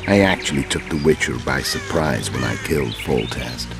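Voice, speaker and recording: An actor playing a huge, menacing kingslayer in a video game, calm and sure of himself. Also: male voice, rumbling low, normal speed, over loud music. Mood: calm menace.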